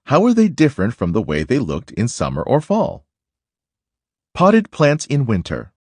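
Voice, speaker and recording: A man narrating a children's nonfiction book aloud in a deliberate, instructive way. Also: mid-range voice, wildly swooping pitch, no room sound.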